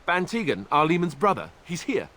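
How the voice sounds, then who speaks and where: An actor playing a self-deprecating, good-natured young warrior in a video game, talking in a wry, joking, conversational way. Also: male voice, fairly high pitch, very animated, moderate pace, fairly bright tone. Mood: surprised.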